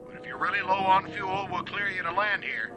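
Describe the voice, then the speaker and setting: Man acting skeptical control tower operator, high male voice, brusque, official, radio drama, music behind. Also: little pitch movement, a little fast, natural voice. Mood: official, firm. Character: gruff, skeptical 1930s airport tower controller.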